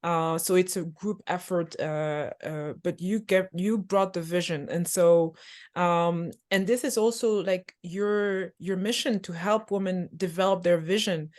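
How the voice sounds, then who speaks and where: A woman's voice: on the low side, measured pace, a little mellow. A woman hosting a career podcast, speaking with a hesitant delivery full of filler sounds. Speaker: podcast host, career coach. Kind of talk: podcast interview.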